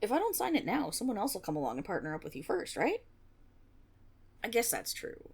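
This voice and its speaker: A woman's voice: mid-range voice, huge pitch swings, a little fast, darkish tone, full character voice. A woman playing an eager, nervous young female tiefling rogue in an intimate fantasy audio roleplay, rambling and stammering with a teasing streak. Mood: flustered, apologetic. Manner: nervous rambling with stammers, sighs and breathy giggles.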